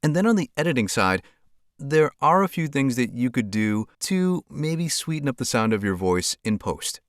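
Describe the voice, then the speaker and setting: A man with a deeper voice explains things calmly and clearly to camera, like a how-to lesson for creators. His voice is mid-pitched and sounds dry and close, with no room around it. He talks through a clip-on lapel mic. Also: normal speed, no echo. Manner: calm, clear explanatory delivery. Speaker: content creator giving tips. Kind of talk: instructional talk to camera. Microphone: clip-on lavalier mic.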